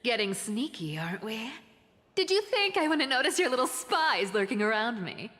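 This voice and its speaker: An actress playing a sly, guarded trickster woman in a video game, teasing yet wounded, often dropping into hushed asides. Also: fairly high pitch, sing-song, normal speed, big-room sound. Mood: teasing, defiant.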